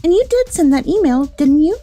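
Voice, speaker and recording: Woman acting as a high-voiced, firm office investigator. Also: sing-song, fairly quick, dark tone. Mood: firm, confronting. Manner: formal, matter-of-fact, accusing. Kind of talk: anime-style manga dub dialogue. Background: background music.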